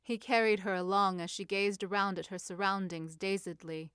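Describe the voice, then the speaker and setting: Female audiobook-style narrator: measured, crisp, medium pitch, studio-dry. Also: fairly even intonation, fairly bright tone, no room sound. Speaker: fan fiction narrator. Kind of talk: scripted story narration.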